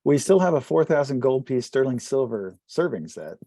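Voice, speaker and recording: A man's voice: mid-range voice, big pitch swings, normal speed, mellow, dry recording, clean studio sound. A man playing a tabletop role-playing game, chatting casually about the party's options.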